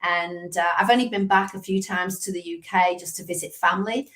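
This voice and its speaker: A woman's voice: lowish voice, brisk. A woman in her fifties from England with a Liverpool accent, a sales coach telling stories as a guest on a video call interview. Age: 50s. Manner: chatty storyteller, lively asides. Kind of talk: casual interview chat.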